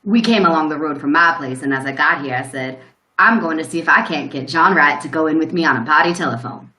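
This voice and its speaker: A woman's voice: sits low, fast talker, bright, forceful tone. A woman playing a plain-spoken country farmer who gives a rambling, folksy account in a radio play. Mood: folksy, puzzled. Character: plain-spoken rural male farmer recounting a shock. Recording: roomy, echoing sound.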